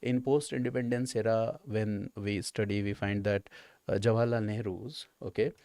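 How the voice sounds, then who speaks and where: A man's voice: on the low side, steady tone, moderate pace, mellow, clear, resonant tone, dry recording. A man with an Indian accent teaching exam technique in an emphatic, repetitive way, punctuating his explanation with check-in questions.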